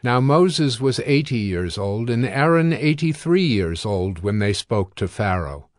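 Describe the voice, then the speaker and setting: A man narrating scripture from a script in a measured, formal storytelling manner. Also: medium pitch, big pitch swings, dry recording, studio quality.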